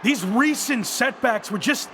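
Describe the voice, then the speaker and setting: A man's voice: very high voice, a little slow, pushed and bright, a little hiss or hum, exaggerated voice. A man playing an aggrieved, confrontational young wrestler, a former champion, in a video game cutscene. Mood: resentful, frank.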